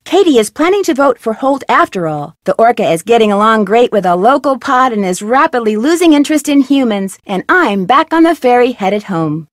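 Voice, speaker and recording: Woman as plucky young girl detective, inquisitive and polite, high lively voice, dry studio sound. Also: dry recording, close to an everyday voice. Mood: narrating. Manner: inquisitive, bright and earnest. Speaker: voice actor for a game heroine. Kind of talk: video game voice lines.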